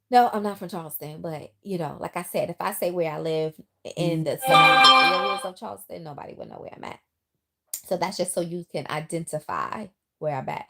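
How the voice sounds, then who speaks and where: A middle-aged woman from the Bronx, now living in the South, chatting casually with viewers on a livestream and reading their comments aloud. Her New York accent has picked up some Southern twang. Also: on the low side. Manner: chatty, lively, talks straight to viewers. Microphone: phone.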